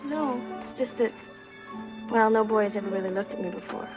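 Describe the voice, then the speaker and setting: An actress playing a sweet, naive young princess in a fairy-tale drama, earnest and wide-eyed. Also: female voice, a little high, brisk, natural voice. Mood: shy wonder. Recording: music playing under dialogue.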